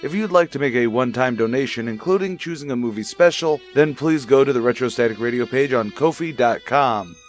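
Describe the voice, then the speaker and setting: A man's voice: medium pitch, fairly quick, hardly any pauses, soft background music. A man reading a scripted outro as the announcer and host of a radio drama show.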